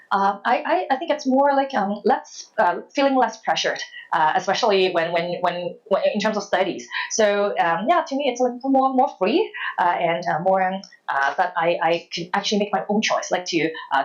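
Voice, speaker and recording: A woman in her thirties from Hong Kong, with a Hong Kong accent, answering interview questions reflectively in a halting, hesitant way with repeated words. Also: lowish voice, highs cut off. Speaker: interview guest, former journalist.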